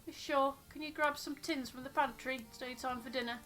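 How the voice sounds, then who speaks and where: Woman putting on a brash, bright-voiced ship's cook. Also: high voice, steady tone, a little fast, soft background music, voice pushed up. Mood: proud, breezy.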